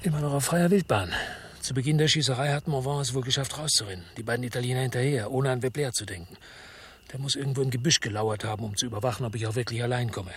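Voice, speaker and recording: An actor playing a weary, hard-boiled investigator in a radio drama, with terse, laconic delivery and a husky voice. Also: male voice, medium pitch, fast talker, a little hiss or hum, natural voice. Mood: tense, hushed. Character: weary, hard-boiled male investigator telling his story. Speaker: first-person narrator and lead actor. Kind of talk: German radio drama narration and dialogue.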